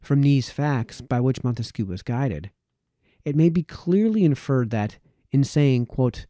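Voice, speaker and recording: Male audiobook reader for formal historical prose: very soft-edged dark tone, lively intonation, deliberate phrasing. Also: mid-range voice, dry recording, studio quality. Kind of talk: reading an old political text aloud.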